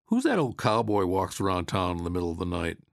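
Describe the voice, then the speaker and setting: A weary man under questioning in a literary story, as a male narrator reads him. He is evasive and a little sheepish, with a low voice full of creak. It is recorded close and dry. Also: wildly swooping pitch, quick pace, no room sound, full character voice.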